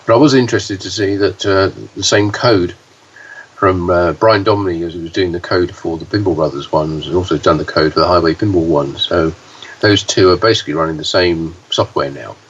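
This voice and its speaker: A man co-hosting a podcast, talking with a hoarse voice and halting, with frequent fillers and restarts. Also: low voice. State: hoarse, deeper voice than usual. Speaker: podcast co-host, pinball news reporter. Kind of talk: casual podcast chat. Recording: dull, slightly distant, faint hiss.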